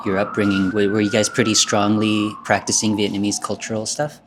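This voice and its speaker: A man hosting a casual interview, asking questions in a relaxed way and affirming often. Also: on the low side, a little fast, noisy background. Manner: relaxed, affirming with frequent "yeah". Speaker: interview host.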